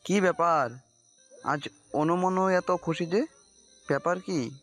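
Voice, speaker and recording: Audio drama brother, male actor: light high voice, familiar and teasing, faint music underneath. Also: takes it slowly, fairly bright tone. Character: playful, teasing, fond young elder brother.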